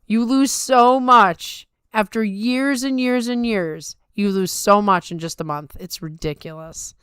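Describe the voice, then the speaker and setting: Middle aged lady talking sports casually, clean crisp voice, kinda emphatic, no room sound. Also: medium pitch, measured pace, fairly bright tone, no room sound, studio quality. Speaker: sumo talk show co-host. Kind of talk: casual chat with opinionated commentary.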